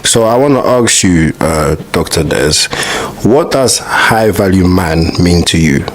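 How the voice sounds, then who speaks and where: Direct, low-voiced male interviewer. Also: expressive, unhurried, slight background noise. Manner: direct, pointed questions. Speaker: interview host. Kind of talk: casual interview questioning.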